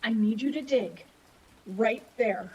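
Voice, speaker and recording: A woman playing a calm, haunted mother in a radio play, soothing, earnest and cryptic, stubborn in what she believes. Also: a little high, takes it slowly, rough recording. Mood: resigned, gentle.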